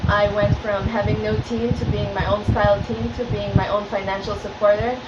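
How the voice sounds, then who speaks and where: A woman's voice: medium pitch, flat delivery, a little fast. A woman in her twenties from Macau, earnest and articulate, giving an interview answer as a pageant contestant. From Macau, of Filipino and French parents. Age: 20s. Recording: very noisy, echoey, dull, distant mic.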